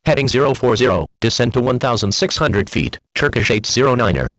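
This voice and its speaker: A man's voice: medium pitch, animated, a little fast, a bit bright. A man speaking clipped aviation phraseology over an air traffic radio transmission. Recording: dull, band-limited air traffic radio.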